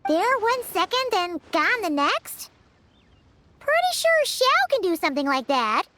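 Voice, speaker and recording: A woman voicing a small, bubbly, childlike fairy companion in a video game, squeaky, excitable and scatterbrained. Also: a little slow, heavy background noise, exaggerated voice. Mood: excited, surprised. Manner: excitable, speaks of self in third person. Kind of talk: animated video game voice acting.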